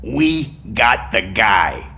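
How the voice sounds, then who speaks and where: An actor playing a cocky, chummy small-time crook in a video game, glib and informal. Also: male voice, fairly low pitch, very animated, drawn-out words, vocal fry, bright, forceful tone, raised voice, slight background noise, full character voice.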